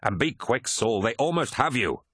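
A man's voice: mid-range voice, animated, moderate pace, fairly bright tone, husky, no room sound, voice pushed up. A wary male military captain, played by a male narrator, speaks in a commanding, questioning way. He sounds like a doubtful officer in a dramatic audiobook, recorded dry. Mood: alarmed, questioning. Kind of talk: dramatic audiobook character reading.

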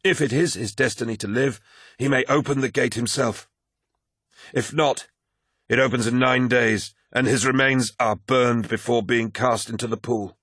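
Man doing a solemn counsellor, raised husky voice. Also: medium pitch, steady tone, normal speed, a bit bright, no room sound, studio quality, voice pushed up. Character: solemn, reproachful limping male warrior counsellor.

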